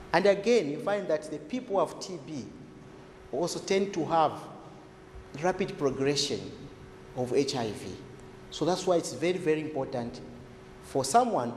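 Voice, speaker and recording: A man's voice: fairly high pitch, a little mellow. Emphatic man in an echoey room. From Zambia. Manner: deliberate, emphatic, repeats key words. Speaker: health expert explaining TB treatment. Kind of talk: explanatory interview talk. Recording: echoey, reverberant room with background noise.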